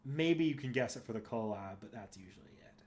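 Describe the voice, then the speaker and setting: A man's voice: mid-range voice, animated, a little fast, a little mellow, slight background noise. A man hosting a casual livestream, chatting with viewers in a rambling way, thinking aloud and often correcting himself. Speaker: streamer and host.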